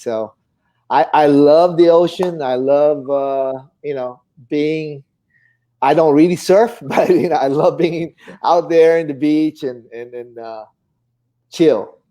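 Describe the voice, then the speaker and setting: A man's voice: medium pitch, warm tone. A middle-aged man from the Philippines with a Filipino accent, chatting casually in an easygoing, rambling storytelling way as an interview guest. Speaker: guest, fine artist painter. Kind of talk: casual interview conversation, reminiscing.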